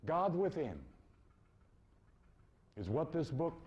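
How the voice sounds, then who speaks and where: A man with a high-pitched voice delivers a spiritual sermon at a very slow pace. He is deliberate and emphatic, circling back to repeat key phrases. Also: sing-song, darkish tone, dull audio. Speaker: spiritual preacher. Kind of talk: esoteric sermon.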